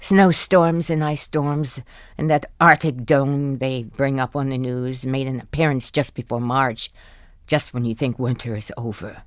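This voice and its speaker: An actress playing an exasperated older wife who carries shame, in a naturalistic audio drama. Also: female voice, extremely low pitch, fairly even intonation, unhurried, dry recording, studio quality. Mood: weary, ashamed. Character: exasperated, ashamed older wife of a zealous husband.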